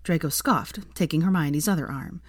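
A woman's voice: low voice, normal speed, breathy edge, no echo, clean studio sound. A woman narrating scripted fiction as a story reader.

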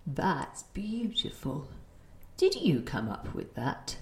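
A woman's voice: deep voice, wildly swooping pitch, slow pace, full character voice. Woman playing a forgetful, indignant grandmother, roomy sound. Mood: confused, wistful. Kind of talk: audio play acting.

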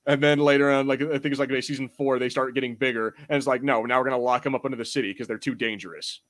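A man's voice: mid-range voice, steady tone, racing. Irreverent, sweary man chatting casually. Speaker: podcast host. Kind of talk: casual chat about fantasy film and TV.

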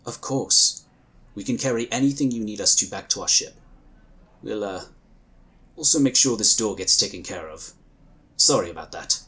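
An actor playing a courteous, reassuring inquisitor of a magical order in a sci-fi audio drama, speaking in formal, measured, polite phrases. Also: male voice, lowish voice, animated, sharp tone, slight background noise. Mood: apologetic, polite.

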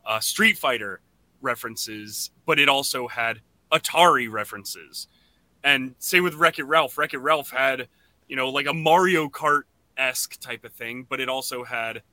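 A man hosting a casual podcast discussion, talking in a halting way and often restarting his phrases. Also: mid-range voice, bright, forceful tone, no room sound. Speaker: podcast host.

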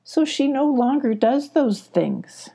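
A woman voicing a knowing, grave mother bear in a children's storybook, explaining things deliberately. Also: sits high, sing-song, creaky, dark tone, voice pushed up, exaggerated voice.

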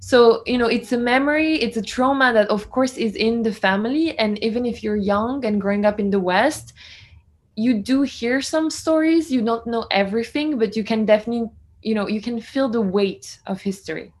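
A woman's voice: on the high side, moderate pace. Earnest young woman reporter in her twenties. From France. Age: 20s. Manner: earnest, reflective, fluent with "you know" fillers. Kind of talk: conversational interview answers.

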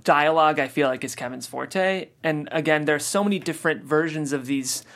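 A man's voice: a little high, a little fast, a bit bright. A man hosting a podcast talk after a script reading, speaking earnestly and with many fillers. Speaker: podcast host. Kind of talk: casual discussion after a table read.

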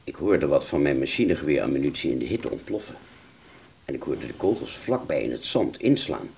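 A man playing a wounded British fighter pilot, telling his story in a radio play with a husky voice and halting, stammering delivery. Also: very deep voice, expressive, a little mellow, slight background noise, full character voice. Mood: haunted, halting. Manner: halting, stammering, repeats words. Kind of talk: first-person dramatic narration in a radio play.

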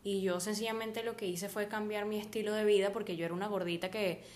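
A woman's voice: mid-range voice, fairly even intonation, quick pace, a little mellow. Chatty Venezuelan woman from Maracaibo. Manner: chatty, laughs easily, uses fillers like "o sea". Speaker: podcast co-host. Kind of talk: casual chat.

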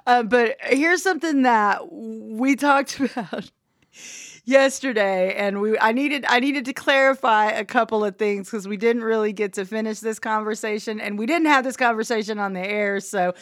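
A woman's voice: fairly high pitch, normal speed, no echo, clean studio sound. Chatty Oklahoma woman radio host. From Southwest Oklahoma. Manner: chatty, laughs often, teasing. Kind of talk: casual chat.

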